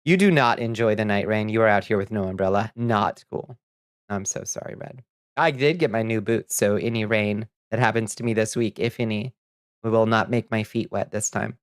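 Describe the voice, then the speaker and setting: A man's voice: lowish voice, moderate pace, smooth, dry recording, studio quality. A man streaming a game, chatting casually with viewers and reading their messages aloud, a little sniffly, rambling and laughing easily. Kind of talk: casual chat while gaming.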